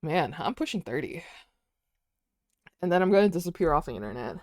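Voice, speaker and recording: A woman's voice: low voice, sing-song, a little fast, darkish tone, no echo, clean studio sound. A woman in her twenties chatting casually while drawing on a stream, rambling, laughing often and saying "dude" and "like". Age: 20s.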